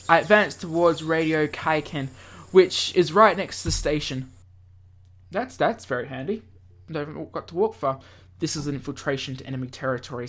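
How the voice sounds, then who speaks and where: A man's voice: fairly high pitch, fairly bright tone. A man hosting a let's play, reading visual novel text dramatically and breaking off for playful asides. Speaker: gaming video host reading aloud.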